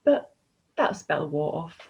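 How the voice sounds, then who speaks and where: A woman's voice: deep voice, big pitch swings, slow pace, breathy edge. A woman storyteller reading a children's story expressively, in a slightly dull, lo-fi recording.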